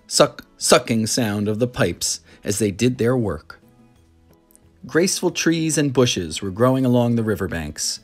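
An expressive narrator reads a children's story aloud. The delivery is dramatic, with lively swings in pitch, and music plays underneath.

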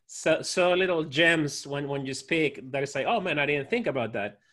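Friendly host with a Spanish-speaking accent. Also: male voice, a little high, expressive, moderate pace, a bit bright. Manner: friendly, repeats words as he thinks. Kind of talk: casual interview chat. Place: office with banner. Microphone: headset on video call.

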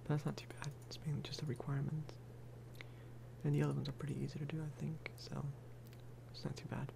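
Soft-spoken man murmuring over clear background noise. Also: flat delivery. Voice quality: soft, hushed voice, at times breathing into whisper. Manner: unhurried, low-key, musing aloud. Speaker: gaming video creator. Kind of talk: soft-spoken ASMR game commentary.

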